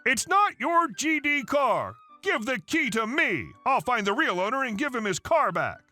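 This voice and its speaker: Man doing a high, shrill, bossy entitled mom, lively and accusing, in a storybook narration. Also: normal speed, faint music behind, heavily acted. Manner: indignant, bossy. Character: loud entitled middle-aged mother, accusing and shrill.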